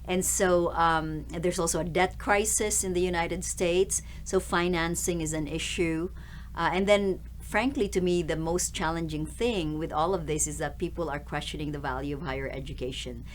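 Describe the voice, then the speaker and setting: A middle-aged woman from the Philippines, a university president, answering interview questions in a measured, articulate way. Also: lowish voice, fairly even intonation, slight background noise.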